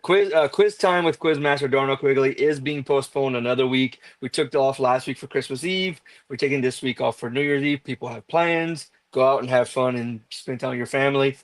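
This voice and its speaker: A man's voice: medium pitch, fairly quick, hardly any pauses, no echo. A man speaking casually as a guest on a stream, running through his upcoming show plans over a phone.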